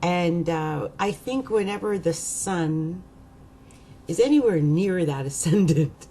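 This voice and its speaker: Woman, croaky, low mellow voice, slow rambling talk, faint room hum. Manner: rambling, hesitant, many fillers. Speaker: astrologer. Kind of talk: casual rambling vlog.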